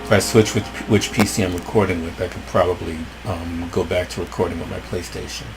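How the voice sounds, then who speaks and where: A man with a rough voice commenting casually on his gameplay, rambling and thinking aloud. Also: low voice, little pitch movement, a little fast, noisy background. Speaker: let's play host.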